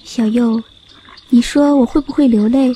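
A woman playing a wistful, lovesick young sock with a soft, dreamy, tender manner in a Chinese radio drama. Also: on the high side, fairly even intonation, moderate pace, roomy sound, close to an everyday voice, poor audio. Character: wistful young female left-foot sock, lovesick and innocent. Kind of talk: Chinese radio drama acting with inner monologue.

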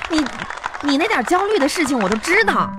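High voiced bestie, northeast china accent, scolding n teasing, exasperated, radio comedy with music behind. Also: female voice, sing-song, a little fast. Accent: Northeastern Mandarin. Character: nagging, teasing, exasperated female best friend. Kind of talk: live broadcast comedy skit, colloquial banter.

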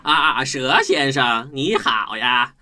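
A man's voice: medium pitch, animated, slow, music in the background. A man playing a small, cunning, stammering mouse in a children's audio drama, with exaggerated delivery. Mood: sly, confident. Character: small clever timid-seeming mouse, cunning bluffer.

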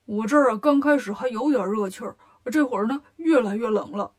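A woman's voice: on the high side, brisk, natural voice. A woman voicing a simple, gloomy male pig disciple from a children's story, grumbling in a plaintive drawl. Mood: resigned.

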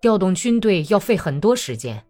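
A woman voicing a defiant male Cossack commander in an audiobook, terse and stubborn, in a low, muffled voice, with music behind. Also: very animated, moderate pace, dark tone, natural voice. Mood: stubborn, weary. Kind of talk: audiobook character acting.

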